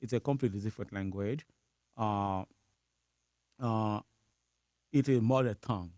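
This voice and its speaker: A man from Haiti with a Haitian accent, a priest whose native language is Creole, answering interview questions haltingly with small laughs. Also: fairly low pitch, a little mellow, dry recording, clean studio sound. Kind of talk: interview conversation.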